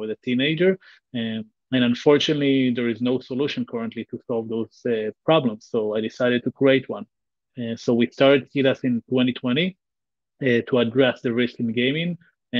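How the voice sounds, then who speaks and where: An Israeli-accented man in his thirties talks earnestly from a bright home room over a video call, stumbling into restarts and fillers. The audio is dry and a little dull. His pitch sits mid-range. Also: dry recording. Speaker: guest, tech company founder. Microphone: video call webcam.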